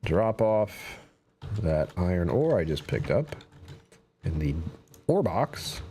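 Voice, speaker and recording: A man's voice: deep voice, very animated, slow, warm tone, a little hiss or hum. A man chatting casually while playing a survival game on a live stream, his voice a little strained, musing to himself and drifting into whispers. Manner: chatty, self-talking, trails off into whispers. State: slightly strained voice. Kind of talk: casual gameplay commentary.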